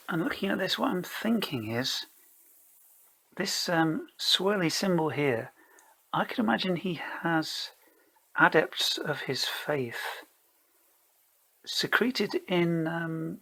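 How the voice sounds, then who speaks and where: A solo role-player narrating a tabletop game, improvising and thinking aloud in a hesitant, halting way. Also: mid-range voice, a bit bright, poor audio. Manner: hesitant, halting, mulling things over.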